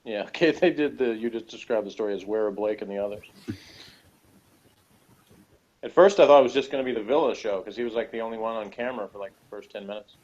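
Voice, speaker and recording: A man's voice: fairly low pitch, quick pace, darkish tone, lo-fi sound. A man chatting casually and critically, giving blunt opinions as a reviewer of a TV episode.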